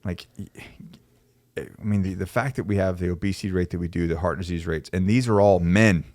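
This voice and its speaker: A man in his thirties hosting a casual podcast, talking earnestly and emphatically with many restarts, on a studio boom mic. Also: fairly even intonation, fairly quick, darkish tone, no room sound. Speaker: podcast host and coaching company owner. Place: podcast studio, dark backdrop. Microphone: studio mic on boom arm with headphones.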